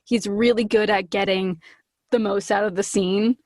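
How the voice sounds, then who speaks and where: A woman's voice: mid-range voice, steady tone, moderate pace, darkish tone, no room sound, studio quality. A woman voice actor as a podcast guest, chatting casually and enthusiastically about her work. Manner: bubbly, enthusiastic, breaks into acted quotes. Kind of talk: casual, animated interview chat.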